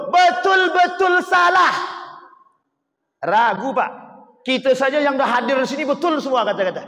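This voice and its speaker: A man's voice: very high-pitched, fairly bright tone. A man preaching an Islamic sermon to a congregation, emphatic and rhetorical, putting questions to his listeners. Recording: echoey hall with long reverb.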